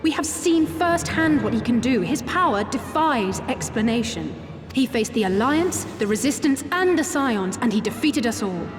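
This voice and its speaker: An actress playing an earnest, cautious young woman strategist in a video game, speaking formally and with measured care. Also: on the high side, expressive, natural voice. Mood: grave, cautioning. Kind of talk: dramatic video game voice acting. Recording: echoey hall-like reverb under music.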